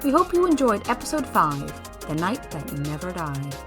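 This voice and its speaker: A woman's voice: lowish voice, very animated, normal speed. A woman announcer reading scripted closing credits for an audio show. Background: music.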